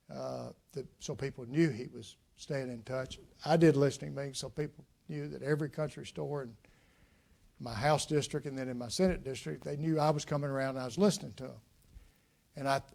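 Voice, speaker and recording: An older man with a drawl talks to an audience in a lecture room, folksy and unhurried. His voice carries a gravelly edge and frequent "uh" pauses as he reflects on his answers. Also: medium pitch, a little mellow. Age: 60s. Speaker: guest speaker, former state legislator. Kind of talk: public talk with audience questions.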